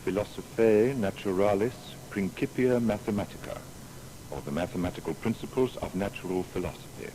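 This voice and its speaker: An actor playing a learned, proud scientist with formal archaic diction in a period radio drama. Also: male voice, on the low side, brisk, warm tone, slight background noise, lightly acted, poor audio. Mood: solemn. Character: learned, proud male scientist, distracted yet indignant.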